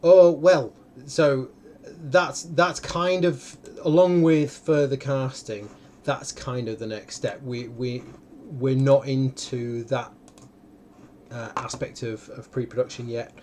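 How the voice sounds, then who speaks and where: A man hosting a casual live question session, talking haltingly and often repeating phrases as he goes. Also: mid-range voice, fairly even intonation, a little mellow. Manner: hesitant, halting, circles back and repeats phrases. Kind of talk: casual unscripted question-and-answer talk. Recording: clearly noisy live stream audio.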